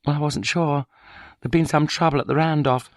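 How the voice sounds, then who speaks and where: A resentful, sharp-tongued wife in a wheelchair, as a male narrator puts her on, pitched well above his own deep voice. She speaks in a raised, accusing tone in a dry, close audiobook recording. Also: fairly even intonation, normal speed, dry recording, natural voice. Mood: bitter. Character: bitter, vindictive middle-aged wife in a wheelchair. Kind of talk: audiobook character voice.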